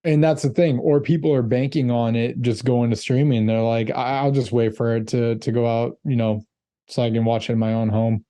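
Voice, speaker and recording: A man co-hosting a casual movie review podcast, reading out ratings and box office numbers in a relaxed way. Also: medium pitch, normal speed, no room sound. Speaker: podcast co-host.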